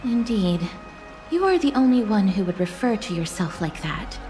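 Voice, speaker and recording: A woman's voice: medium pitch, lively intonation, normal speed, music in the background, close to an everyday voice. A woman playing a dutiful, tactful young fighter in a video game, speaking formally and earnestly. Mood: formal, frank. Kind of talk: dramatic video game acting.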